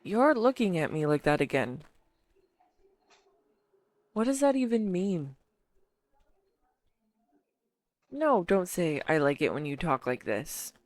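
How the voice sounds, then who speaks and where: A woman playing an earnest, bashful, talkative girlfriend in an intimate audio roleplay, speaking straight to the listener in a halting, teasing manner. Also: on the low side, sing-song, darkish tone, no room sound. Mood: flustered, playful.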